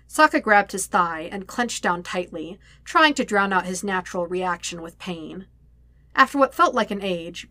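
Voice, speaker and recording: A woman reading fiction aloud as an expressive narrator of a scripted story. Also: mid-range voice, dry recording. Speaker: podfic reader.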